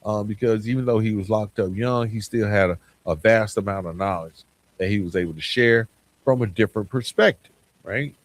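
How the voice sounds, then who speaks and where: Low-voiced man hosting a podcast. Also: brisk. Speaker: podcast host. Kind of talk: solo podcast reflection, informal talk.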